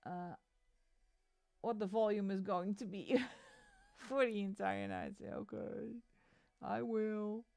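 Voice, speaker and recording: A woman's voice: medium pitch, fairly even intonation, slow, creaky, warm tone, dry recording. A woman streamer chatting casually while she colors, given to exasperated rants, speaking into a desk microphone. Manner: ranting, exasperated asides; sings along to music between chat.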